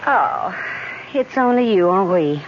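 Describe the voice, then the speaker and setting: A woman's voice: medium pitch, expressive, slow pace. A woman playing a wealthy young French heiress, lovesick and breezily dismissive, in old-time radio drama. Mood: breezy, dismissive. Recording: muffled old radio recording, faint noise.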